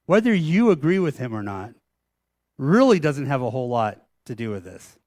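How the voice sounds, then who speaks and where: A man's voice: mid-range voice, big pitch swings, moderate pace, a little mellow. A man preaching a sermon as pastor, earnest and emphatic, building on repeated phrases.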